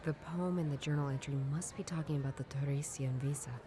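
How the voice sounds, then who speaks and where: Actress as a low-voiced inquisitive woman detective. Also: fairly even intonation, fast talker, crowd noise, close to an everyday voice. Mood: curious. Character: inquisitive young woman detective puzzling over clues. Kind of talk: scripted video game dialogue, thinking aloud.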